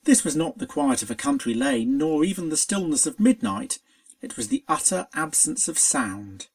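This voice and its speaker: Story narrator, dramatic and expressive, very lively intonation with a creaky edge. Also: medium pitch, moderate pace. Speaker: fan fiction reader.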